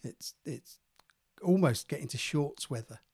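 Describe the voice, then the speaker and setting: A man co-hosting a podcast, slightly croaky from a cold, talking thoughtfully with hesitations and repeated words. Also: fairly high pitch, lively intonation, a little slow, darkish tone. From England. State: croaky, getting over a cold. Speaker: podcast co-host, therapist. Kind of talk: casual podcast chat.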